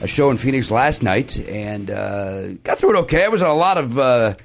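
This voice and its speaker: A man hosting a casual comedy podcast, hoarse and raspy from a sore throat, bantering playfully and mocking himself. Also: medium pitch, animated, normal speed, soft background music. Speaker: podcast host.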